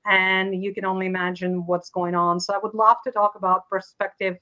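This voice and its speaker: Bright, earnest woman from Ukraine, Russian her first tongue, interview guest on a call. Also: mid-range voice, level tone, brisk, highs cut off. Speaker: guest, leadership coach. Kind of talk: conversational interview.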